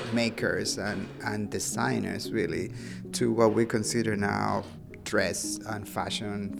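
A low-pitched man from Cuba speaks in interview answers, stopping and repeating words as he works out his thoughts. His voice has a gravelly edge, and soft music plays behind him. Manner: halting, repeats words while thinking. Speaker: university professor interviewed. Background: background music.

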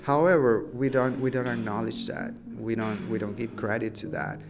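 A man from Cuba, a university professor, answering interview questions in a halting way and often repeating words as he thinks. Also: mid-range voice, fairly even intonation. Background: background music.

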